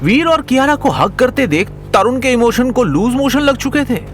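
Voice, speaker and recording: A man's voice: extremely high pitch, big pitch swings, moderate pace, faint music behind. A man narrating a comic audio story in a dramatic scripted style.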